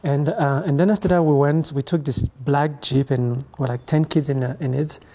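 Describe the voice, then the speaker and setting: Soft-toned young man. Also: fairly high pitch, steady tone. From Ethiopia, raised in France. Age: 20s. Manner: reflective, hesitant, halting phrasing. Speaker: guest. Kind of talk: conversational interview.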